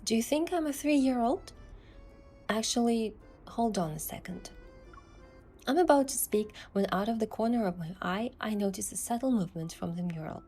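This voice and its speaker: A woman's voice: fairly high pitch, lively intonation, normal speed, darkish tone, faint music behind. A woman giving scripted first-person narration of an adventure story as its protagonist.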